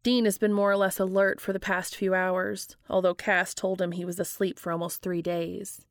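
A woman's voice: medium pitch, level tone, normal speed, dry recording. A woman reading a story aloud in audiobook-style narration, as the reader of a fiction podcast.

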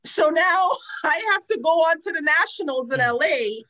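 A woman in her forties from Trinidad, a guest telling her personal story frankly and earnestly, with lively quoted asides. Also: very high voice, normal speed, rough recording. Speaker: guest, domestic violence advocate. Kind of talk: personal testimony in a podcast interview.

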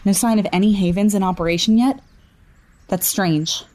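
A woman's voice: medium pitch, moderate pace, darkish tone, lightly acted. A woman acting in an audio drama as an anxious, reserved figure who chooses words carefully and a little formally. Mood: careful concern. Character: anxious, reserved woman waiting for her team. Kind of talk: naturalistic fiction podcast acting.

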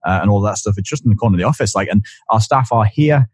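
A man's voice: lowish voice, fast talker, darkish tone, slightly rough, dry recording. A man co-hosting a podcast, easygoing and adding technical details, recorded on a studio mic in an office corner. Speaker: podcast co-host. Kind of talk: casual chat. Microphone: studio condenser mic on boom arm.

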